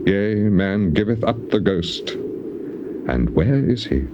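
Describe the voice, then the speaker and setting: An actor playing a suffering, defiant righteous man in a dramatized scripture recording, declaiming with passion and weighty pauses. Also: on the low side, animated. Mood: mournful, resigned. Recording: dull, noisy old cassette tape recording.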